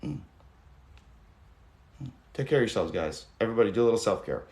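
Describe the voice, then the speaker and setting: A hoarse man in his thirties hosting a casual livestream, talking loosely and swearing now and then. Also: fairly low pitch, animated, brisk, darkish tone. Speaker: livestream host. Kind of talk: rambling casual livestream chat. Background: guitar music.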